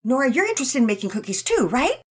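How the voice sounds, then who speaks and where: A woman voicing a cheerful, eager young girl in an audio story, speaking in a bubbly, excited way. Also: high voice, fast talker, slightly rough, pitched above the performer's own voice, exaggerated voice.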